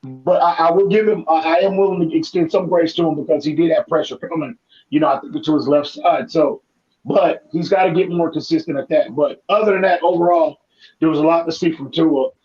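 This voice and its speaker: A man with a raspy, hoarse voice giving animated, detailed football analysis in casual talk. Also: on the high side, fairly quick, muffled recording. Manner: animated, analytical, many restarts.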